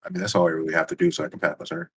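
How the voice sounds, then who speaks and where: Low-voiced man chatting casually at game table. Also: fairly even intonation, very fast, a bit bright, slight background noise.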